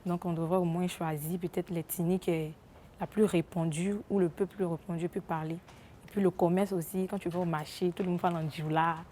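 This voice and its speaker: Woman in a street interview, low mellow voice, faint noise behind. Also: fairly even intonation, brisk.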